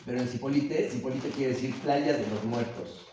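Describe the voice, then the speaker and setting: A man's voice: mid-range voice, moderate pace, mellow. A man with a Mexican accent telling anecdotes in casual, slangy chat among friends. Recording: very echoey, distant, dull, slightly noisy.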